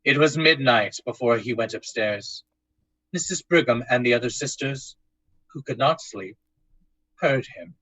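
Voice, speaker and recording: A man's voice: medium pitch, a little slow, a bit bright, husky, no room sound, low-quality recording. A man narrating a scripted drama in the dramatic manner of old-time radio.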